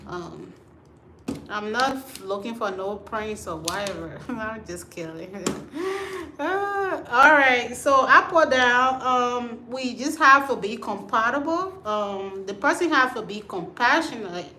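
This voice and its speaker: A chatty woman from Liberia hosts a casual livestream and answers her viewers' comments at an unhurried pace. Her voice is bright and forceful, and it rings in an echoey room. Faint rain noise sits underneath. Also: fairly high pitch, lively intonation. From Liberia, West Africa. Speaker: livestream host. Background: storm and rain outside.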